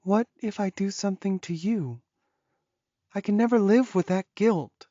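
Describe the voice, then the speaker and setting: A woman's voice: mid-range voice, measured pace, mellow, no room sound, highs cut off, close to an everyday voice. A woman playing an anxious, self-doubting young werewolf in a dramatized audiobook, earnest and pleading. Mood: worried.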